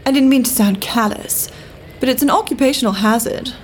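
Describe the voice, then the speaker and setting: A woman's voice: sits high, fairly quick, slight background noise, lightly acted. An actress with a British accent playing a cool, worldly 1930s government agent woman in an audio drama, genteel, dry and arch. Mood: coolly detached. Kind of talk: full-cast audio drama acting.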